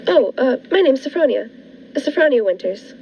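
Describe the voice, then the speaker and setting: A woman playing a shy, excitable spinster of forty in a 1940s-style radio drama, earnest and eager. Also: high voice, normal speed, slightly rough, full character voice. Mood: shy, flustered. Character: naive, excitable, shy forty-year-old spinster diarist. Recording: dull lo-fi with music behind.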